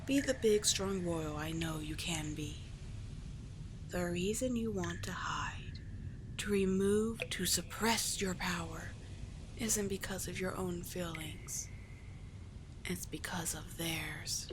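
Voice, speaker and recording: A woman playing a cunning, doting sea witch in an ASMR roleplay, breathy and measured, with coaxing, condescending endearments. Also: fairly low pitch. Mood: coaxing, teasing. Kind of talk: ASMR roleplay, scripted monologue. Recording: clear steady background ambience noise.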